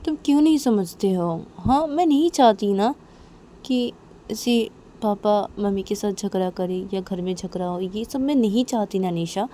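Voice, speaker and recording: A woman's voice: on the low side, expressive, fast talker, hardly any pauses, lightly acted. A woman voicing an exasperated, pleading woman in an amateur audio story, nagging with insistent, repeated questions. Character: Manisha, exasperated, pleading woman scolding and coaxing her housemate. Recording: noticeable background noise.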